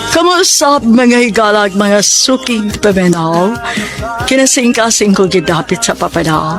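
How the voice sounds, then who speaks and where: A woman's voice: mid-range voice, expressive, unhurried. A woman hosting a radio drama program, greeting her listeners in a formal broadcast style. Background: background music.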